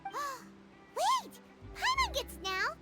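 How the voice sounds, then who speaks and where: A woman voicing a tiny, curious, excitable fairy companion in a video game, with a squeaky childlike voice. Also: extremely slow, over music, full character voice. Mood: excited. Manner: refers to self in third person, exclaims often. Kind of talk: video game character dialogue.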